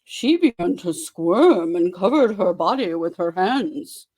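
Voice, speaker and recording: A performer playing a mock romance-novel author, giving a theatrical, deliberate, tongue-in-cheek dramatic reading. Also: mid-range voice, lively intonation, darkish tone, rough recording. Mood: mock romantic. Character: Fabio Toadface, mock romance-novel author alter ego.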